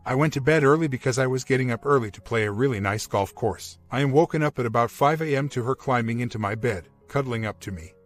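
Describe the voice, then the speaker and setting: A man's voice: mid-range voice, quick pace, few pauses, faint music behind. A man reading a first-person personal story aloud as scripted narration. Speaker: narrator reading online relationship stories.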